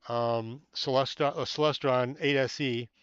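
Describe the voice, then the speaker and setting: A man's voice: medium pitch, fairly even intonation, measured pace, a bit bright, clean tone, no room sound, dull audio. A man hosting a casual amateur astronomy live stream from inside a small tent, chatting with viewers, thanking them by name, rambling with many fillers, and a little stuffy-nosed.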